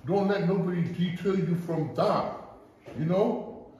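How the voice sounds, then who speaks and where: A man lectures a gym crowd on motivation, blunt and swearing freely. His voice sits a little high for a man. It comes from well off the mic and booms around a large echoey gym. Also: a little slow, dark tone, distant mic, highs cut off, a little hiss or hum. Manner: blunt, profane, preachy. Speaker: gym owner giving a seminar. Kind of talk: blunt motivational talk to a group.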